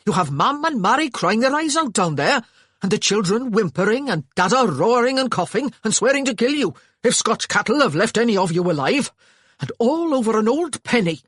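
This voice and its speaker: A narrator voicing a gruff young Welsh man who scolds his brother loudly and speaks stiffly to a stranger, with a Welsh accent. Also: medium pitch, sing-song, moderate pace, no echo, clean studio sound, pitched above the performer's own voice. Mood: scolding.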